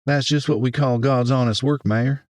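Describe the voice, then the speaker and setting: A man's voice: medium pitch, steady tone, moderate pace, no room sound, studio quality, pitched above the performer's own voice, natural voice. A man voicing a friendly young woman florist with a folksy Mississippi drawl, in an audiobook story. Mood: cheerful, welcoming. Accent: Mississippi Southern.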